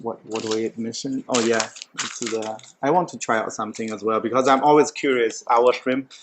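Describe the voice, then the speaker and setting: Halting host in his forties. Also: mid-range voice, steady tone, a little hiss or hum, low-quality recording. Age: 40s. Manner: halting, many restarts and fillers, non-native phrasing. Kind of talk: casual chat over a meal.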